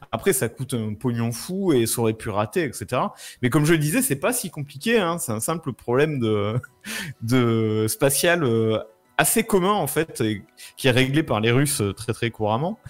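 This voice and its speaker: A man explaining things informally in casual chat, using slangy wording. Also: mid-range voice.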